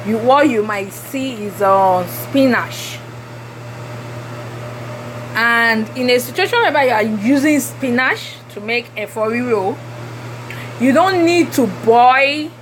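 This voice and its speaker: A Nigerian woman whose first language is Yoruba, talking through a cooking tutorial in a halting way with many fillers. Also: a little high, poor audio. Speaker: cooking vlogger. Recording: background noise.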